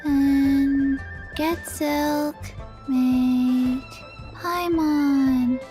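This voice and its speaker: A slow, halting little girl. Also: sits high, fairly even intonation, over music. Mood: muddled. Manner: halting, muddled, fragmentary. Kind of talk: video game voice acting.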